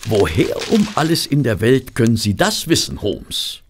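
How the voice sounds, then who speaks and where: A proper, fussy gentleman acted by a man as a detective's sceptical sidekick in a radio play. He is polite yet dryly indignant, with hugely varied intonation and a little noise in the background. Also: medium pitch, sing-song, moderate pace, lightly acted. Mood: puzzled, sceptical. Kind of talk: German audio drama acting.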